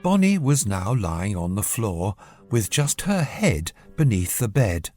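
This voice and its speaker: A narrator reads a scripted bedtime story for children. The delivery is slow and measured but very expressive in tune, with music playing behind. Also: medium pitch.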